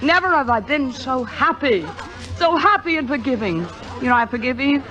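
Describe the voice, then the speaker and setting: A woman's voice: high voice, expressive, moderate pace, fairly bright tone. A woman playing a grand, exuberant middle-aged stage star in a 1950s radio drama, theatrical, emphatic and playful. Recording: dull vintage radio recording with music.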